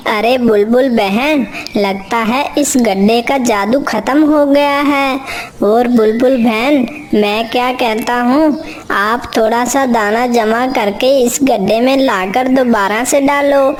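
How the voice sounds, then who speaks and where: A woman's voice: a little high, slow pace. A woman voicing a sly, lazy male crow in a children's cartoon, with a coaxing, explanatory way of talking. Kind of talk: children's cartoon character dialogue.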